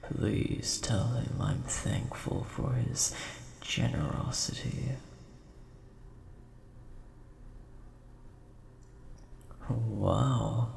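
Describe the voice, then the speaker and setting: A very creaky, low-pitched dragon, acted out by a man, large but mild and bookish, in an audio roleplay. He talks slowly and politely, quick to take offence, in a roomy, echoing space. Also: darkish tone, full character voice. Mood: pleasantly surprised. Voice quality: gravelly, creaky. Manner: polite, measured, mildly indignant. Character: large, mild-mannered, nerdy male dragon, easily offended coin collector. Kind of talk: scripted audio roleplay addressed to the listener. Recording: roomy echo, faint background hiss.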